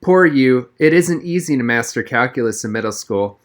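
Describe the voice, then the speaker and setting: A man's voice: mid-range voice, lively intonation, normal speed, close to an everyday voice. A man plays a female middle-school teacher from a storybook. She speaks in a knowing, firm way with a touch of mock sympathy.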